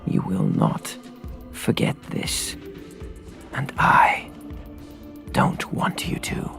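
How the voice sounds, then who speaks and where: A narrator voicing a cold, cruel male master of assassins in an audiobook, speaking with deliberate, threatening control. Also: faint music behind. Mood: quiet menace. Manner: soft, deliberate, threatening, at times growling.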